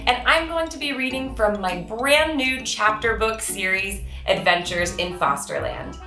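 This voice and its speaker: A bright, forward-voiced woman narrates a children's book with an expressive storyteller's delivery. The room adds noticeable echo, and music plays behind her. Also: mid-range voice, a little slow. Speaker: children's author reading aloud. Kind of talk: storybook read-aloud for children.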